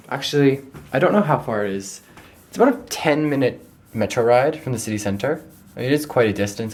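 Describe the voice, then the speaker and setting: A man's voice: mid-range voice, a little mellow. A young man from Canada talking casually to a handheld camera, rambling and correcting himself as he thinks aloud, partly outdoors in a park and partly indoors. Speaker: travel vlogger. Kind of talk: casual travel vlog.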